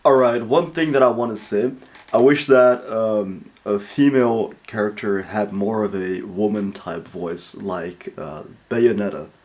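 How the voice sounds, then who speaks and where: Excitable young man. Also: lowish voice, unhurried. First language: Dutch. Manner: excitable, swears, lots of fillers. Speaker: reaction video host. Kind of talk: casual reaction commentary.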